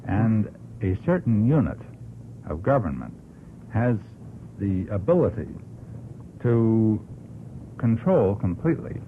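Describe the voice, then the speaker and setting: A low-pitched American man speaks as a guest on a radio discussion. He argues earnestly in deliberate, oratorical phrases with firm emphasis. The sound is a narrow, tinny old broadcast with clear background noise. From United States. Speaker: panel guest. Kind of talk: earnest argument on a radio talk show.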